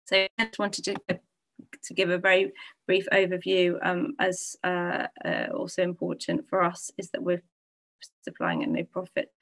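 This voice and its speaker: A woman presenting at a webinar on a video call, steady with frequent "um" pauses. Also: lowish voice, vocal fry, low-quality recording. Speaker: pharmaceutical policy director, webinar speaker.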